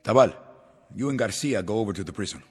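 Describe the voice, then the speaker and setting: An actor playing a paranoid, grandiose revolutionary leader in a mid-century television drama. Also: male voice, on the low side, lively intonation, fast, darkish tone, husky. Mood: commanding. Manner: grandiose, theatrical, commanding. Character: paranoid, imperious male revolutionary leader turned ruler. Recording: old TV soundtrack, reverberant, music behind.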